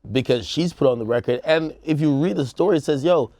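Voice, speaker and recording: A man's voice: fairly high pitch, fast talker, mellow, no echo, clean studio sound. A man co-hosting a music news show, talking in a casual, slangy way as he thinks aloud. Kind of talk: casual panel discussion, slangy commentary.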